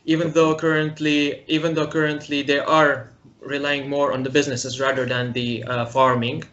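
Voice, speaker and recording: A young man from Syria, a guest in a casual interview, speaking haltingly and searching for his words. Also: fairly high pitch, level tone, fairly bright tone. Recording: muffled lo-fi recording.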